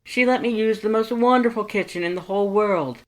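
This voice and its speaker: A reader voicing an exuberant, kind-hearted male skeleton in a fan fiction story, loud and emphatic with formal wording. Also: a little fast, lightly acted. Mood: earnest, enthusiastic. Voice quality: loud, booming. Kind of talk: animated fan fiction character reading.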